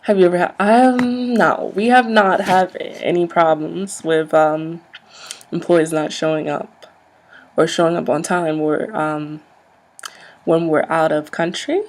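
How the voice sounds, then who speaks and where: Soft-spoken ASMR woman with creaky voice. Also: lowish voice, steady tone, measured pace, slight background noise. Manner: polite, businesslike, hesitant, explaining procedures. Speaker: ASMR performer playing a job interviewer. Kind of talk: soft-spoken ASMR roleplay of a job interview.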